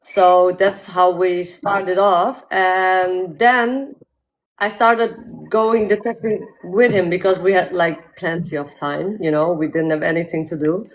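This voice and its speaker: A woman's voice: on the low side, steady tone, poor audio. A woman from the Netherlands, a guest and metal detectorist, telling her story casually and laughing often, with many ums and likes. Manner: chatty, laughs often, fills pauses with uh and like. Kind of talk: casual interview chat.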